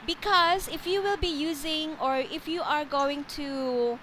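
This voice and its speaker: Filipino woman, online English tutor, high voice, patient and repetitive, noisy call line. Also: fairly even intonation, a little slow. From Philippines. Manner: patient, explanatory, often repeats and rephrases. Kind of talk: online one-on-one English lesson. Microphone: video call.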